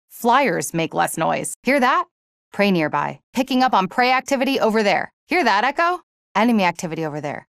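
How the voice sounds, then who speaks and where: High-voiced alert huntress. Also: female voice, fairly bright tone, no echo, studio quality. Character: alert, predatory female hunter. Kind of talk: video game voice lines.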